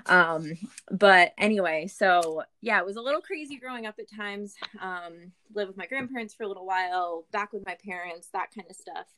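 An American woman telling her personal story as a guest in a candid, reflective, halting way, often restarting her phrases. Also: medium pitch, sharp tone. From Boston area, Massachusetts, USA. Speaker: podcast guest, business owner.

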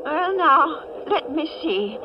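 A sweet little old lady in a vintage radio drama, with a very high, lively voice. She is genteel and hesitant, easily flustered, heard through heavy noise on an old muffled broadcast. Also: slow pace. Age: older. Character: sweet, fluttery, chatty old lady from small town. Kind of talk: 1940s radio suspense acting.

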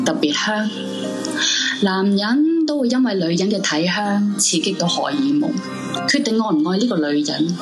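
A woman acting in a Hong Kong Cantonese radio drama, playing a heartbroken, lovesick young woman. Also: on the low side. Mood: reflective. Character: heartbroken, lovesick young woman narrating her sleepless longing. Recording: music bed under the voice.

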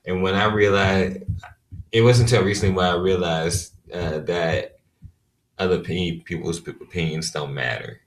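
A man co-hosting a podcast, speaking in a measured, reflective way and offering advice. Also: sits low, steady tone. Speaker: podcast co-host. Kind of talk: casual reflective chat.

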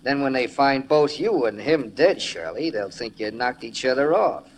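A scheming gangster acted by a man from a forties radio crime drama. He talks in cocky underworld slang at a middling pitch, on a slightly noisy old recording. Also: animated, moderate pace, close to an everyday voice. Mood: smug, menacing. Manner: slangy tough-guy talk. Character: scheming, cocky male gangster holdup killer.

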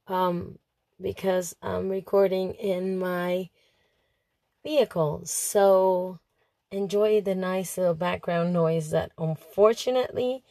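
A woman with a hoarse, under-the-weather voice, talking informally and a little hesitantly to her listeners. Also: on the low side, warm tone, no room sound. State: hoarse, unwell. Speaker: host of an amateur audiobook podcast. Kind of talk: casual spoken update to listeners. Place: inside a parked vehicle in light rain for part of it.

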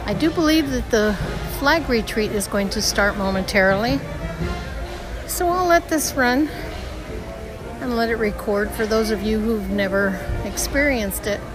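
Slow-talking hoarse woman outdoors. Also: a little high, rough recording. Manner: slow, chatty storytelling. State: hoarse, tight throat. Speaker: podcast host. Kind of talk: casual theme-park audio diary. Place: outdoors on a theme park street. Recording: outdoor park recording with music and crowd. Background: band music and crowd.

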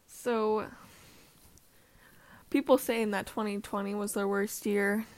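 A woman's voice: mid-range voice, little pitch movement, slow pace. A woman co-hosting a casual family podcast chat, hesitating often with "um" and sitting close to a shared mic. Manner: hesitant, lots of "um" fillers. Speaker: podcast co-host. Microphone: shared mic, close to her.

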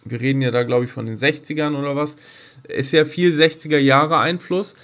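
A man's voice: fairly high pitch, steady tone, brisk, a bit bright. A middle-aged man co-hosting a podcast in casual, unscripted conversation, explaining things and checking for agreement. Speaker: podcast co-host.

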